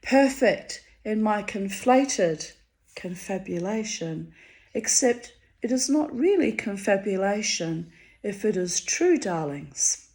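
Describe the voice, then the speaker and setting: A Hungarian-born woman reading her own diary entries aloud, wry and theatrical, her voice swinging high and low for effect. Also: lively intonation, takes it slowly. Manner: wry, theatrical, dramatic swings of pitch and loudness.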